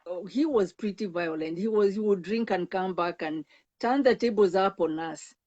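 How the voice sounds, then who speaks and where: A woman's voice: mid-range voice, moderate pace, a little mellow. An older woman with a Kenyan accent, a counselor earnestly recounting her own life as a guest, emphatic, often restarting words. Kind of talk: earnest personal testimony. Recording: dull lo-fi sound.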